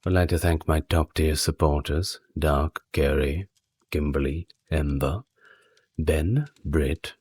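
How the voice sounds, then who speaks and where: A man speaking softly and breathily as the host of an ASMR channel, talking casually to his listeners. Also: very deep voice, slow, breathy edge, no echo.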